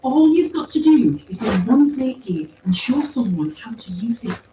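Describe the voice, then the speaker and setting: A woman's voice: on the high side, sing-song, moderate pace, warm tone, voice pushed up. A woman voicing an earnest, insistent woman soldier in an audiobook story. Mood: exasperated, insistent. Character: earnest, pleading, indignant woman soldier on a mission. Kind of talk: acted audiobook dialogue. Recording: roomy, distant pickup with faint noise.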